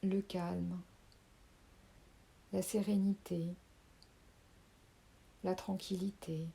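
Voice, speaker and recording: A woman leading a guided sophrology relaxation, speaking softly and calmly and sometimes dropping to a whisper. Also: on the low side, vocal fry, slightly rough. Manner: slow, soft, calming, with whispered phrases. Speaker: sophrology teacher.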